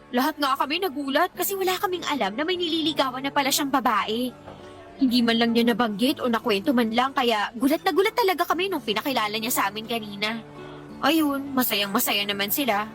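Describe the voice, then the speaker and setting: Whiny, jealous young mother, high-voiced. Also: lively intonation, brisk, fairly bright tone. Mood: sulky. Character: petulant, jealous young live-in mother venting to her friend. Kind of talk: Tagalog radio drama dialogue. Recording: music behind the voice.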